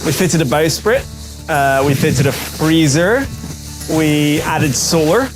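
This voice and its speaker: A man's voice: a little high, slow, music in the background, poor audio. A man chatting casually and wryly to camera as a sailing vlogger aboard a boat at sea. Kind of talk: casual vlog narration to camera.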